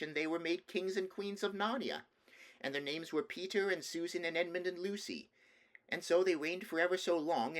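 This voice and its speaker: Man acting little boy prince, storybook, high-pitched, innocent, earnest, childlike babble. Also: lightly acted.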